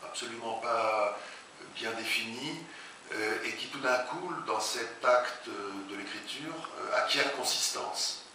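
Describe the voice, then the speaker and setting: Man talking haltingly in an echoey hall. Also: mid-range voice, sharp tone. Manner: halting, searching for words, many restarts. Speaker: translator and writer, interviewee. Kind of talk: public talk interview. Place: large echoey hall, before an audience. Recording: very echoey hall, far from mic, hiss.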